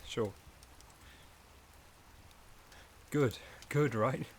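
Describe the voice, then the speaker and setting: A man playing a gentle, shy, caring forest companion in a soft-spoken ASMR roleplay, with a hesitant, slightly stammering delivery. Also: mid-range voice, slow pace, a little hiss or hum. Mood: gently attentive.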